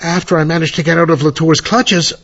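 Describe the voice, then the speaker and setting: Cranky cornered professor in an old radio drama, kinda high raspy voice, imperious, theatrical, muffled audio. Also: male voice, steady tone, brisk, a bit bright. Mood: grim, confessing. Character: irascible, cornered male professor hiding a guilty secret. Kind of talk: old-time radio mystery acting.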